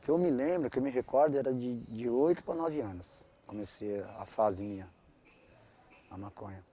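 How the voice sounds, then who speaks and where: A man tells his life story in a documentary interview. He speaks haltingly, often restarts his phrases and ends many of them with tag questions. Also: medium pitch, expressive, mellow. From São Paulo, Brazil. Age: 40s.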